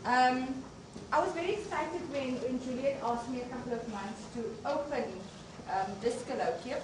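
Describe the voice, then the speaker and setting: A woman's voice: sits high, moderate pace. A woman, a university deputy vice chancellor, giving an unscripted opening address without a microphone, earnest and emphatic, often restarting phrases. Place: lecture venue. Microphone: no microphone, speaking unamplified. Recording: echoey, distant room recording with noise.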